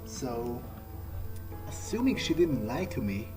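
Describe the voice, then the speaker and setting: Slow, halting man musing over music. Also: mid-range voice, very animated. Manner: halting, musing, repeats words. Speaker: player in an in-character game playthrough. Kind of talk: in-character roleplay, thinking aloud. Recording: game music behind the voice.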